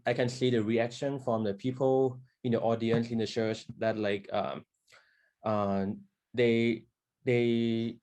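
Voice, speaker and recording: A man's voice: mid-range voice, darkish tone. Hesitant man, many fillers. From Thailand. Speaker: guest violinist.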